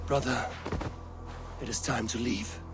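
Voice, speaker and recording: A man's voice: medium pitch, fairly even intonation, a little slow, natural voice. Man acting as a stern, earnest Viking warrior. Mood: urgent, pleading. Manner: solemn, earnest, formal phrasing. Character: resolute male Viking warrior, stern and loyal. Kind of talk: dramatic video game acting. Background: game music.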